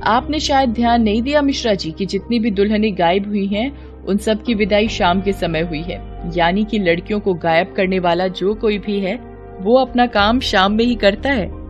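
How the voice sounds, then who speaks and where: A woman voicing a confident, authoritative female police inspector in a cartoon story, brisk and commanding. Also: medium pitch, muffled recording, soft background music, lightly acted.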